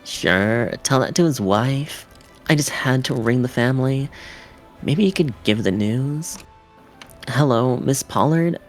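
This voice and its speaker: A woman voicing a dry, mock-solemn student gamer in a game, needling in a deadpan, theatrically grave manner. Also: rumbling low, unhurried, soft background music.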